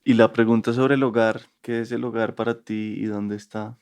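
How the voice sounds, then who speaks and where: A man's voice: lowish voice, level tone, normal speed, a little mellow, clear, resonant tone. A man hosting a podcast interview, asking open questions politely and thanking his guest. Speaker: podcast host.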